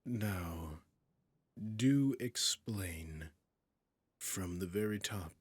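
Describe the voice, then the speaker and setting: A man playing a haughty, possessive dragon king in a roleplay audio drama, speaking in slow, deliberate, formal phrases with a breathy, husky voice that often drops near a whisper. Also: sits low, expressive, no room sound, heavily acted. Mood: haughty, intrigued. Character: imperious, possessive male dragon king, menacing and seductive.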